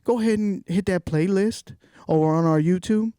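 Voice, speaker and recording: A man co-hosting a retro podcast, reading fan mail in a relaxed, slangy, joking way. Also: high-pitched, moderate pace, smooth, no echo, studio quality. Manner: laid-back, slangy, laughs easily. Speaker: podcast co-host.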